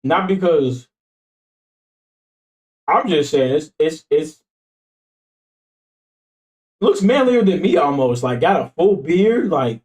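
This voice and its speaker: A man's voice: a little high, very animated, slow, pauses often, darkish tone, rough recording. A man in his twenties chatting casually on stream, joking around in a slangy way and laughing often. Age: 20s. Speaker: streamer reacting to videos.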